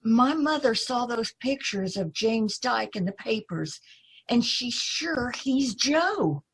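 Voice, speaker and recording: A woman acting a polite young woman in a radio play, her voice somewhat high with a husky edge. She is earnest and hesitant, as if sorry to be a bother. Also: animated, slow pace, heavily acted. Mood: earnest, hopeful. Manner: hesitant, apologetic. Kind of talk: old time radio drama acting.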